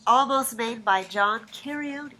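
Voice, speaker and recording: A woman's voice: on the high side, measured pace, sharp tone. A woman narrating stage directions from a script at a staged reading. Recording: faint background noise.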